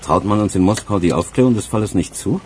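An actor in a radio play playing a wry police investigator with a dry, sardonic manner. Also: male voice, lowish voice, fast, a little mellow, a little hiss or hum, close to an everyday voice.

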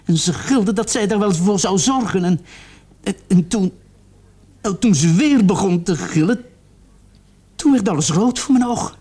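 A husky, higher-voiced young man in a radio drama, distraught and halting as he confesses. His tone is soft-edged and dark, his words stumbling and repeated. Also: lively intonation, exaggerated voice. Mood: anguished, desperate. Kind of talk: radio play acting.

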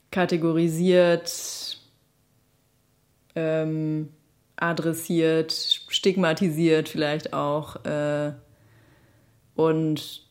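A woman's voice: deep voice, a little mellow. A woman answering reflectively in an interview, hesitant and thoughtful.

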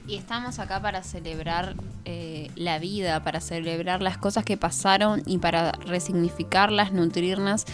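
A woman's voice: fairly low pitch, steady tone, normal speed, hardly any pauses, over music. A woman, speaking conversationally as a radio co-host.

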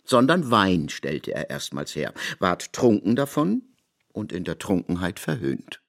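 A man narrating scripted literary prose for a radio production in an expressive, elevated storytelling manner, recorded in a studio. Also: deep voice, measured pace, creaky.

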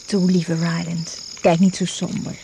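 A woman's voice: lowish voice, little pitch movement, a little slow, vocal fry, mellow. An actress playing a spirited, persistent young woman in a Dutch radio drama. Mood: teasing, tender. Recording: noisy old radio play recording.

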